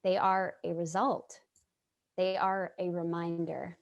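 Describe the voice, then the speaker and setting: Woman playing a slow, reflective middle-aged New Yorker. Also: lowish voice, flat delivery, gravelly, lo-fi sound. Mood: somber, reflective. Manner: measured, reflective, storytelling. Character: casually dressed middle-aged woman, born-and-bred Manhattanite narrator, compassionate. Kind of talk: dramatic monologue in a staged play reading. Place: at home on a video call.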